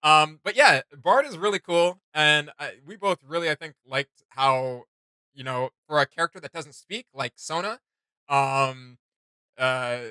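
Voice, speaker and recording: A man in his thirties with a somewhat high, very bright and forceful voice co-hosts a reaction show. He rambles enthusiastically in casual conversation, sounding close and conversational. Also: a little slow, clean tone, no room sound.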